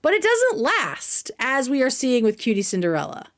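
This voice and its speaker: A woman's voice: high voice, huge pitch swings, moderate pace. A woman hosting a casual livestream commentary, speaking in a sarcastic, emphatic way with plenty of slang. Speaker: livestream host.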